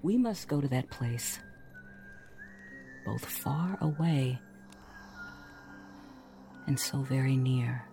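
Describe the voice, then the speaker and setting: A woman playing a mysterious, gentle forest storyteller, narrating a scripted fairytale in a hushed, breathy, intimate way with deliberate pauses. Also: a bass voice, lively intonation, faint music behind, full character voice. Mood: hypnotic, mystical. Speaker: storyteller narrator of an audio drama podcast.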